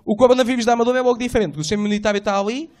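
A man doing a stand-up set in a small club, slangy and animated, acting out voices and sounds. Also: very high voice, racing. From Portugal. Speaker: stand-up comedian. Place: small club stage, indoors. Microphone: handheld mic.

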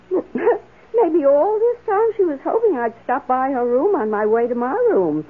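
A woman's voice: high-pitched, animated, a little slow. An actress playing an eager, emotional woman houseguest in an old-time radio drama, with an earnest and dramatic delivery. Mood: anxious, remorseful. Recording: muffled lo-fi radio drama recording.

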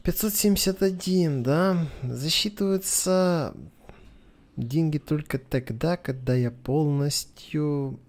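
A man's voice: on the high side, takes it slowly, a little mellow. A man gives casual let's play commentary, thinking aloud as if to a companion. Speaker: gameplay commentator.

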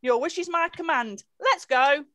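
A woman's voice: high voice, unhurried, fairly bright tone, no room sound, clean studio sound. A woman playing an ancient, obliging genie of the lamp with grand, servile lines in an amateur pantomime reading. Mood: eager to serve. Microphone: computer mic on video call.

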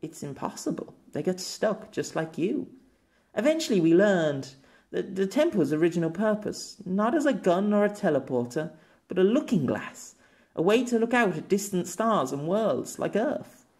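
A man's voice: sits high, big pitch swings, normal speed, a little mellow, voice pushed up. A man voicing an earnest, apologetic fantasy woman in an audiobook, explaining and pleading as she says farewell.

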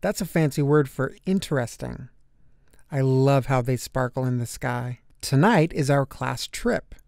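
Man, children's storybook narrator, slow pace, expressive phrasing, dry close sound. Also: mid-range voice, gravelly, no room sound. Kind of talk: children's picture book read-aloud.

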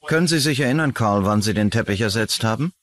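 A man's voice: lowish voice, lively intonation, fast talker, fairly bright tone. A man dubbing a matter-of-fact, persistent police investigator in a documentary. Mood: probing, calm.

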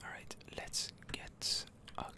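A man giving hushed, halting game commentary for ASMR in a breathy whisper, close to the microphone. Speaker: gaming ASMR streamer.

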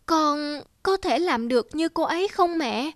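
A woman's voice: high voice, animated, a little slow, no echo, studio quality. A woman voicing a timid, self-doubting young girl in a cartoon, with a hesitant, plaintive manner. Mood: curious, hopeful. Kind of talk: animated fairy-tale dubbing.

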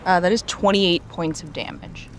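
A woman casually role-playing as a player in a tabletop game, haltingly thinking aloud as she describes her actions. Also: fairly low pitch, animated, background noise.

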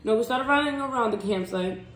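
A woman telling a story as a vlog co-host in casual, bantering conversation, quick to correct and interject. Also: fairly high pitch, crowd noise. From Puerto Rican family. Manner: informal, bantering, often correcting co-speaker. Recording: echoey room, clear background noise, clicks.

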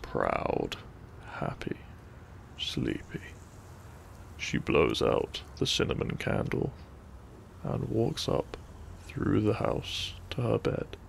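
A man reads a soothing bedtime story with heavy vocal fry and a very unhurried pace. His delivery is soft and breathy, often near a whisper, in a gentle ASMR style. Some faint background noise sits under the voice. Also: fairly low pitch, huge pitch swings. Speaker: sleep-aid audio narrator.

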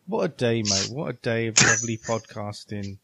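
A man's voice: fairly low pitch, expressive, normal speed, creaky, crowd noise. A man with an English accent chatting casually as a podcast host. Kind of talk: casual banter.

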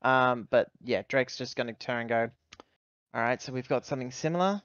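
A man chatting casually as a player in a tabletop roleplaying game, thinking aloud in a halting way. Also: mid-range voice, fairly bright tone, clean tone, dry recording, dull audio.

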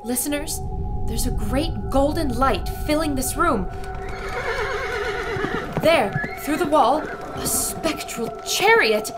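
An actress playing an earnest young radio reporter, describing events to listeners in an audio adventure drama. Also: female voice, sits high, animated, slow pace, pauses often, over loud music. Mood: awed.

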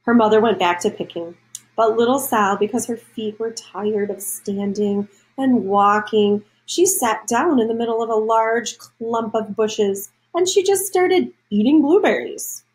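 A woman's voice: on the high side, a little slow, darkish tone, rough recording. A woman reading a children's storybook aloud, adding explanations and questions for young listeners.

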